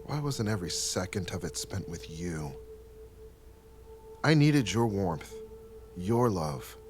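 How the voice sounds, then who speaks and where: A man acting a regretful, lonely character looking back on lost time, speaking haltingly and with sighs. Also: deep voice, very animated, background noise, exaggerated voice. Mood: regretful, anguished. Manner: halting, sighing, wistful.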